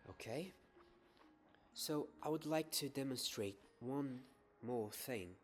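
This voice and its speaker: A young man playing a kind, gentle wizard professor in a soft-spoken ASMR roleplay, soothing and full of pauses. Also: mid-range voice, faint music behind, lightly acted. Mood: calm, instructive. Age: young adult. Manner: slow, soothing, pausing, repeats words softly. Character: kind, gentle male wizard professor teaching defense class.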